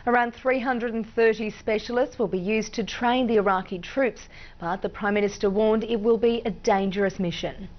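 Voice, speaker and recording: A woman's voice: medium pitch, steady tone, normal speed, few pauses, highs cut off, slight background noise. An Australian woman working as a news anchor, reading scripted bulletin copy.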